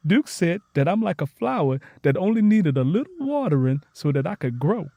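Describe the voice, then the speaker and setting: A man voicing an eager, excitable young woman, earnest and folksy, in a narrated story. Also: high-pitched, moderate pace, vocal fry, dry recording, dull audio, pitched above the performer's own voice, heavily acted. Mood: eager, encouraging.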